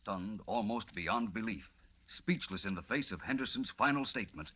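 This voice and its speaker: A male announcer narrates an old radio serial with theatrical suspense. His slightly husky voice swings widely in pitch, and the vintage recording is dull. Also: expressive, moderate pace. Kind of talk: dramatic scripted 1940s radio narration.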